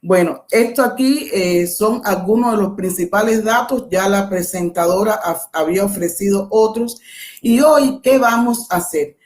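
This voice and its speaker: A middle-aged woman from Cuba with a Cuban Spanish accent, giving an academic webinar lecture in a measured, explanatory way, with pauses and self-corrections. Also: mid-range voice, darkish tone. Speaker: guest lecturer.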